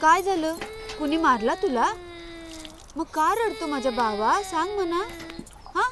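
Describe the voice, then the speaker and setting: A woman's voice: sits high, lively intonation, measured pace, noisy background. An actress playing a kind village mother who soothes a crying boy, speaking in a coaxing, motherly way. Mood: soothing.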